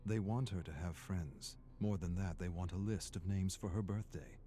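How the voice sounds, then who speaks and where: A man narrating a scripted story in a measured, dramatic storytelling manner. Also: deep voice, dark tone. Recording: music under the voice. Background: background music.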